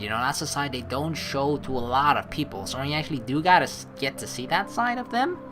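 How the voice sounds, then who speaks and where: A man hosting a let's play, giving casual, reflective commentary in a rambling, halting way and reading dialogue aloud. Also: fairly high pitch, a bit bright. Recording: game music behind the voice.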